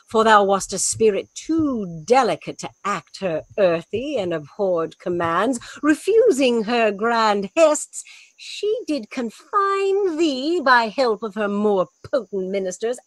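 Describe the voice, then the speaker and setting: Woman doing bossy sorceress master in a shakespeare audio play, theatrical, big pitch swings, really dry close sound. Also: no room sound, full character voice. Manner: theatrical, deliberate, scolding. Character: commanding, imperious sorceress master, quick to scold. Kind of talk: Shakespearean radio play acting.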